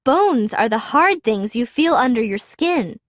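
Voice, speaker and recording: Storybook schoolteacher grouper, female reader: patient explainer, calm and kind, with expressive intonation. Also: fairly high pitch, unhurried, no room sound, studio quality. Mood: calm, explaining. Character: kindly male grouper schoolteacher, calm and instructive.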